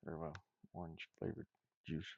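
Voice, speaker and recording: A man with a very low, creaky voice talks casually to himself as an indie game designer at work. He rambles and mutters with playful asides. The sound is close and dry but a bit dull. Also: completely flat delivery, normal speed, warm tone, no echo. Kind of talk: casual chat while drawing game art, thinking aloud.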